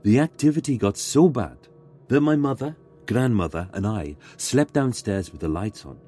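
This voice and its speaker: A man reading a scripted ghost story in a measured, dramatic way, pausing for suspense. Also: medium pitch, expressive, warm tone, faint music behind. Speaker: guest narrator reading a submitted ghost story.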